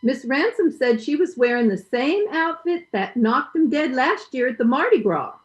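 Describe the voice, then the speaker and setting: A woman's voice: high-pitched, animated, normal speed. A woman playing a plain-spoken, gossipy housekeeper in an old-time radio comedy, folksy and emphatic, on dull call audio. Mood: knowing.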